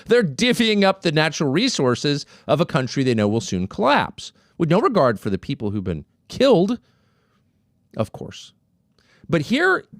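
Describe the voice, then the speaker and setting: Male commentary host, very animated delivery, sarcastic, dry close-miked sound. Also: a little high, dry recording. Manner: emphatic, sarcastic, lively. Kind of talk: political commentary monologue.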